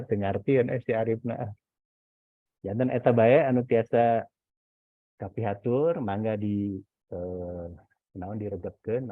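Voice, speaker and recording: A man giving informal, didactic feedback as a university lecturer in an online class. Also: fairly low pitch. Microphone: video call. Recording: echoey, phone-like video-call audio.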